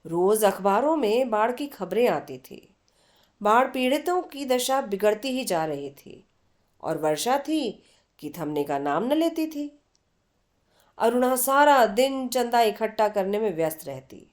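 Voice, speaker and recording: A woman narrates a literary story in a fairly high voice. Her delivery is very slow, with dramatic pauses, and her pitch moves expressively through each phrase. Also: big pitch swings. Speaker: story reader.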